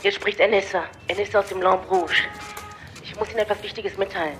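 An actress playing an anxious, secretive young nightclub woman on the telephone in a radio drama, urgent and hurried. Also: medium pitch, steady tone. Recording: noisy telephone line with clicks.

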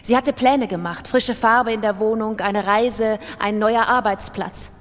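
A woman's voice: mid-range voice, a little slow, lightly acted. A woman performing a troubled, defiant gambler and narrator in a literary radio drama. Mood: grave, bleak. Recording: reverberant hall.

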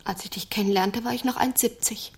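An actress playing a traumatized, bitter woman confiding in an old friend in a German audio drama, breathy and halting, often slipping toward a whisper. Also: medium pitch, fairly even intonation. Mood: wounded, hushed.

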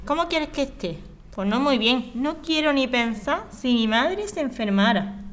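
Woman playing blunt guarded lover in a radio drama, quite high voice, brushes him off. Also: animated, a little slow, a little hiss or hum. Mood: curt. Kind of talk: amateur radio drama acting.

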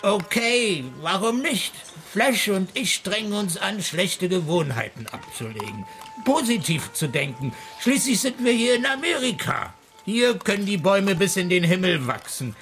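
Radio drama: wisecracking, down-at-heel man, raised pitch, boisterous manner. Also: sing-song, unhurried, a bit bright, faint music behind, exaggerated voice. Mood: joking. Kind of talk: German radio drama acting.

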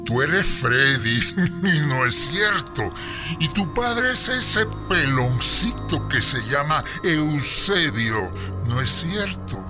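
An actor playing a menacing caller who makes slow, sneering threats in a radio drama. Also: male voice, on the high side, sing-song, over music. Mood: threatening.

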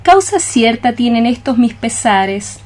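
Lovesick, high-voiced young maiden cockroach. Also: female voice, lively intonation, unhurried, darkish tone. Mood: anguished. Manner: lyrical, plaintive, declamatory. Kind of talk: poetic radio theatre acting.

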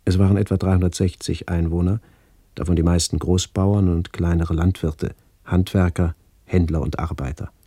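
A middle-aged man reading a literary memoir for radio in a measured, sober way, with a gravelly voice. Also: deep voice, level tone, warm tone, dry recording, clean studio sound. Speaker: author-narrator of a memoir radio play. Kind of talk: scripted literary narration, reminiscence.

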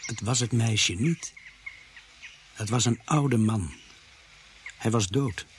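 An actor playing a grave narrator who recalls a police case in a radio drama, measured and sombre. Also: male voice, medium pitch, background noise, close to an everyday voice. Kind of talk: scripted first-person narration in radio drama.

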